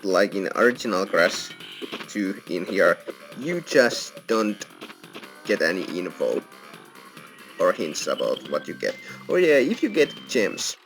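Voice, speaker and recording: A man's voice: low-pitched, sing-song, unhurried, vocal fry. A man giving casual walkthrough commentary as host of a gaming video. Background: loud game music.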